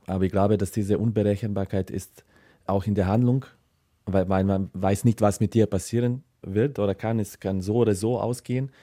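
A man's voice: fairly low pitch, fairly even intonation, moderate pace, dark tone, dry recording, studio quality. A man giving unscripted interview answers as a writer, hesitant and searching for his words. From former Yugoslavia. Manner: hesitant, searching, hedging phrases.

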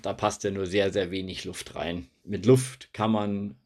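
A man's voice: low voice, fairly even intonation, a little slow, a little mellow. A man answering children's questions as an expert, explaining things in simple spoken language.

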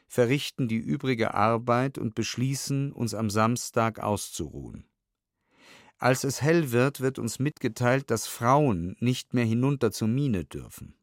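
A man reading historical documents as scripted audiobook narration, measured and sober, with precise enunciation. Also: medium pitch, no room sound, studio quality. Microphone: close studio microphone.

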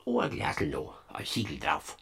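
An actor playing Death as a sly, bumbling peasant spirit in a Bavarian radio play, stammering, giggling and dropping to a hush. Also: male voice, unhurried, a little hiss or hum, lightly acted. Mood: hushed, coaxing. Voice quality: raspy, creaky, whispering and squealing by turns. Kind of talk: classic radio play acting.

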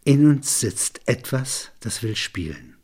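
A man narrating literary and philosophical reflections in measured, deliberate phrases. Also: mid-range voice, sing-song, a little mellow, breathy edge.

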